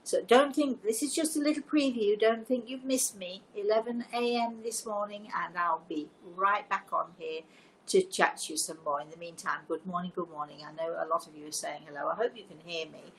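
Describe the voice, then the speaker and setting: An older woman hosting a casual livestream, chatting to viewers in a deliberate way with emphatic repetition. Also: on the low side, rough recording. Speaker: live show host and storyteller. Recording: noticeable background noise.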